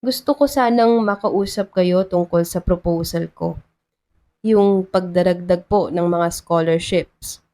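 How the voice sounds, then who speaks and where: A woman's voice: on the low side, measured pace, mellow. Woman voicing an earnest, polite male town mayor. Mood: hopeful.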